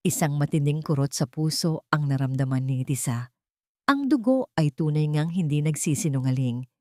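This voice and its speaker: A woman narrator with a slightly low voice reads a scripted melodrama. The recording is dry and close, with little room sound. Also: darkish tone, no echo. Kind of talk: scripted dramatic story narration.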